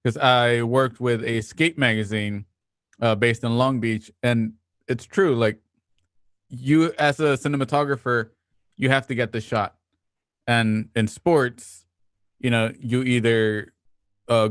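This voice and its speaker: Man explaining haltingly in a dry room. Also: mid-range voice, clean tone, no room sound. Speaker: cinematographer on a Q&A panel. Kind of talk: casual storytelling. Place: at home on a group video call. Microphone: video call.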